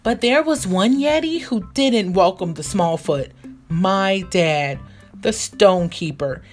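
A woman reading a picture book aloud for young children, expressive and deliberate in her storytelling. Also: medium pitch. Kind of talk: animated read-aloud narration for young children. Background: music.

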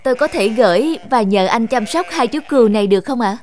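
A woman voicing a gentle young snow maiden with a tender, solemn manner in a fairy-tale cartoon. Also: on the high side, lively intonation, fast talker, lightly acted. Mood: polite. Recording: music behind the voice.

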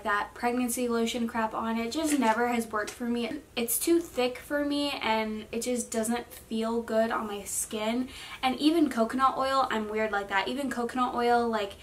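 A woman's voice: a little high, fairly bright tone. A young woman in her twenties chatting casually in a vlog from her bedroom, rambling with many fillers. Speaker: vlogger. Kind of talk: casual pregnancy update vlog. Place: bedroom, seated on bed.

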